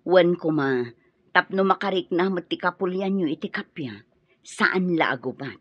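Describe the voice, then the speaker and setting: Low, husky authority woman. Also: lively intonation, moderate pace, dry recording, muffled recording. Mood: supportive. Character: supportive woman in authority, addressed as ma'am. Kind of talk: Ilocano radio drama acting.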